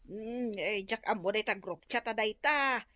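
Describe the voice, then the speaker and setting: A woman's voice: medium pitch, a little slow, pushed and bright, dry recording. A woman playing a stingy, clueless village neighbor in an Ilocano comedy radio drama, with an Ilocano accent. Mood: brazen, dismissive.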